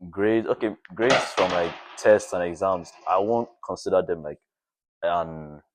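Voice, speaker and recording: A man's voice: deep voice, measured pace, background noise. A young adult man chatting casually as a podcast guest, informal and peppering his speech with "like". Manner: informal, frequent "like" as filler. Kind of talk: casual chat.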